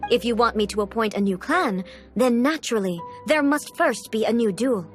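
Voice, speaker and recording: A woman acts out an almighty, stern female Shogun for a video game. Her voice sits fairly high and she speaks in formal, commanding, blunt phrases. Music plays softly behind her. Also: normal speed, lightly acted. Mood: cold, commanding. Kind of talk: scripted video game character acting.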